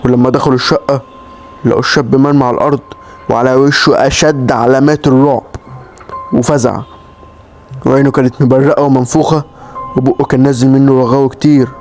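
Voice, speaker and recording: A man with an Egyptian Arabic accent voicing a weary older male flat broker who talks in a resigned, fatherly way. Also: mid-range voice, natural voice.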